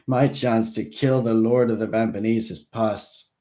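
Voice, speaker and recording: A man's voice: fairly low pitch, steady tone, measured pace, warm tone, lightly acted. A man voicing a drunken, despairing male vampire prince who rants and shrieks. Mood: bitter. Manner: shrieking, ranting.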